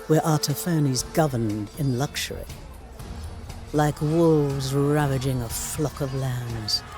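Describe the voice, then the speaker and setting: A man's voice: mid-range voice, close to an everyday voice. Man acting a grave, theatrical ancient war chronicler. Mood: grave, dramatic. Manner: grave, theatrical storyteller with rhetorical flourishes. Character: male ancient chronicler recounting war with solemn relish. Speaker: narrator of game cutscenes. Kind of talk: dramatic scripted historical narration. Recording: studio voice-over under prominent music.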